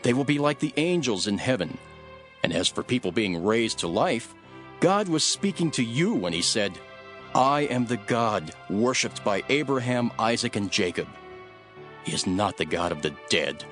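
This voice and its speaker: A man playing an authoritative teacher in a Bible audio drama, speaking in a firm, emphatic, measured way. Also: fairly low pitch, very animated, fairly bright tone, faint music behind. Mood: firm correction.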